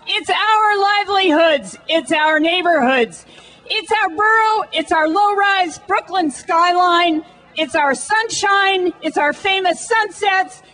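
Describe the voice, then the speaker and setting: Slow, very high-pitched American woman rallying. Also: fairly bright tone. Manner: declamatory, emphatic, repetitive cadence. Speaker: activist rally speaker. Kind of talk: prepared protest speech. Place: outdoor city rally stage. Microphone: microphone on a stand.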